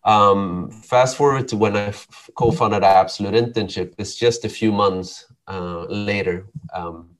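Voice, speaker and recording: A man from Sweden, a podcast guest, telling his life story in a relaxed, rambling way with many ums and restarts. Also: lowish voice, measured pace, hardly any pauses, low-quality recording. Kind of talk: casual storytelling interview.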